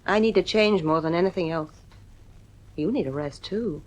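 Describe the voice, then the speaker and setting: A woman's voice: on the low side, normal speed, darkish tone, close to an everyday voice. A woman playing an anxious, fretful wife and mother in a science fiction audio drama, with a nervy, insistent delivery. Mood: weary, worried.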